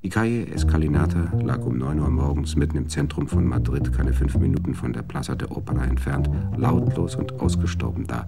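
A man narrating a radio drama in a measured, sober storytelling manner. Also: very deep voice, loud music behind the voice.

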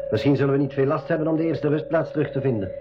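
An actor playing a practical, matter-of-fact member of an expedition in a school radio drama. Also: male voice, a little high, rapid-fire, lo-fi sound, noisy background, close to an everyday voice. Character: level-headed, practical man on a rescue expedition. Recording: band-limited radio drama, music behind.

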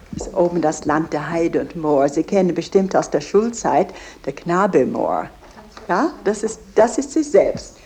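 A woman's voice: fairly low pitch, warm tone. A woman as a museum guide, addressing visitors directly and explaining in a didactic way. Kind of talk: explanatory guided-tour talk.

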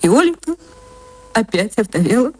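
A woman actress narrating a literary story for radio in a measured, theatrical storytelling manner. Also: fairly high pitch, sing-song, slightly rough.

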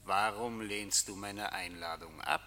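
An actor playing Death as a solemn, insistent coachman in a radio drama, with measured, commanding, declamatory speech. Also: fairly low pitch, fairly even intonation, pushed and bright, a little hiss or hum. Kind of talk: German radio drama acting. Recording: long reverberant hall.

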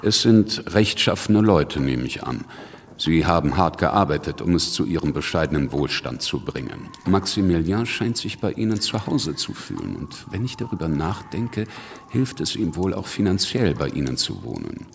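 A pragmatic, worldly politician of the revolution tells his tale in a German radio drama. The voice is very deep and creaky, dry and wry in manner. It rings with long reverb over music. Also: sing-song, moderate pace, a little hiss or hum, heavily acted. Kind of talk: German radio drama, first-person narration and dialogue.

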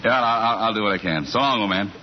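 An actor playing a smooth-talking, conniving newspaperman in a 1940s radio comedy drama. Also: lowish voice, quick pace, a little hiss or hum, natural voice. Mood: breezy, improvising. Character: smooth-talking conniving foreign correspondent, genial liar. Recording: dull 1940s radio broadcast.

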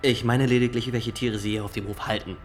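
A man's voice: medium pitch, fairly even intonation, brisk, a little hiss or hum, natural voice. An actor in a German radio drama playing a stiff, officious young male inspector who questions people formally and insistently. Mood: probing, pedantic. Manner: formal, pedantic, insistent interrogating.

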